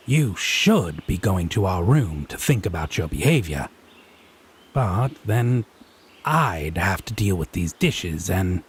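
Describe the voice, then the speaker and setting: An actor playing a haughty, teasing male Fae in an audio roleplay, speaking in an arch, pompous, theatrically drawn-out way. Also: fairly low pitch, husky, exaggerated voice. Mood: scandalized, teasing. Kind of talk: scripted audio roleplay drama.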